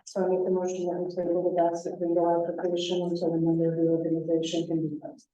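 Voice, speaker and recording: A soft-voiced woman board member speaks at a town meeting. Her voice is low and dark. The hall rings heavily, and she is far from the mic. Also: completely flat delivery, fast talker, slight background noise. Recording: very echoey hall, distant mic.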